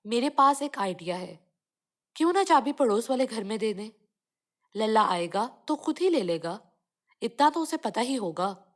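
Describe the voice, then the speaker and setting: A woman voicing a self-absorbed city aunt in a children's cartoon story, brisk and argumentative. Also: a little high, very animated. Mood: scheming.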